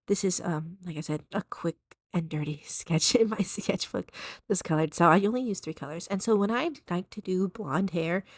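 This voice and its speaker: A young woman talks casually over her drawing in a fairly monotone, low-set voice. She is soft-spoken and rambles, with many fillers and restarts. The sound is dry and close, with no room around it. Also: moderate pace, dry recording. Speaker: art vlogger. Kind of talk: casual chat while drawing.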